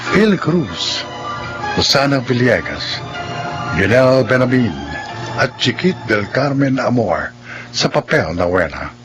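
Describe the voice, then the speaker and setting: A man with a Filipino accent announcing a radio drama in a formal, measured, dramatic scripted style. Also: medium pitch, big pitch swings, music in the background. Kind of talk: formal scripted closing narration and credits.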